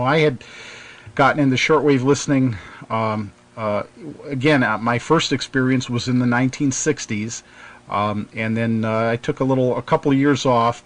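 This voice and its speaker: A middle-aged American man hosting a radio show, reminiscing casually in a halting, rambling way. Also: mid-range voice.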